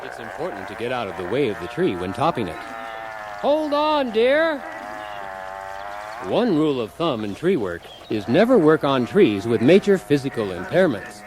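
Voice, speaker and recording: Man playing an animated, earnest bumbling handyman husband. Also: medium pitch, normal speed. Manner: theatrical, mock-earnest instructor. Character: cheerful, accident-prone handyman husband, earnestly instructing. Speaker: host of a spoof do-it-yourself radio show. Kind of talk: scripted comic instructional narration in radio theater. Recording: clearly noisy, busy effects behind.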